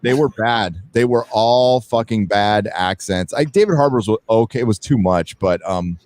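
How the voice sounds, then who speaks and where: A man's voice: on the low side, moderate pace, darkish tone, dry recording. A man hosting a casual film discussion, blunt and swearing often in his talk. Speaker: livestream host.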